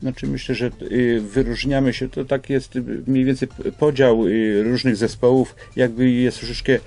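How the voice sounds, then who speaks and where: An older man explains things as a guest in a radio interview, with halting phrasing, on a studio microphone. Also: mid-range voice, flat delivery, dark tone. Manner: halting, hesitant phrasing with repeated starts. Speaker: school representative, radio guest. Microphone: studio microphone on boom arm.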